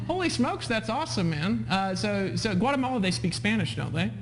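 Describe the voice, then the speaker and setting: A man's voice: high-pitched, very animated, a little fast, a crowd in the background. A man hosting a live webinar as a sales coach, persuasive, repeating himself and checking in with questions. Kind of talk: live webinar sales pitch, talking with callers.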